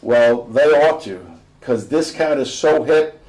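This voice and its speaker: A man's voice: mid-range voice, slow, darkish tone, rough recording. An American man voicing a proud, playfully insistent jazz-loving father in a storybook, with emphatic delivery.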